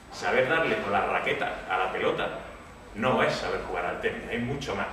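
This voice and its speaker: Man speaking far off in an echoey room. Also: fairly low pitch. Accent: slight Córdoba Andalusian accent. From Córdoba, Spain. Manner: relaxed, conversational, frequent "vale?" check-ins. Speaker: tech meetup speaker. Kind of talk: casual programming talk. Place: meetup room with an audience. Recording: echoey room, distant mic, noisy.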